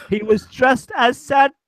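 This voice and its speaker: A man's voice: very high-pitched, expressive, slow pace, heavily acted. A man playing a muddled, dutiful, apologetic servant in a comic radio-play parody, hesitant and repetitive, over a noisy connection. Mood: earnest, dutiful. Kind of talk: improvised comic parody of old-time radio drama.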